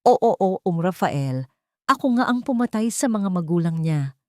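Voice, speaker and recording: Babaeng gumaganap bilang makamandag at matapobreng donya. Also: female voice, fairly low pitch, lively intonation, normal speed, dry recording, studio quality, natural voice. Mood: venomous, confessing. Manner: haughty, dismissive, hateful. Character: venomous elegant rich matriarch, cruel and scornful. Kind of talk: Tagalog radio drama acting.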